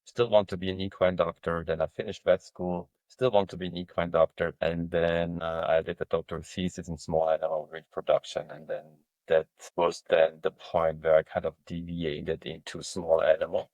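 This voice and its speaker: A man from Switzerland recounts his path in a plain, matter-of-fact way. His voice is very low, and the recording sounds dry and close. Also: flat delivery, normal speed, a little mellow, dry recording. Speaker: guest, veterinary dermatologist. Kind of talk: casual interview conversation.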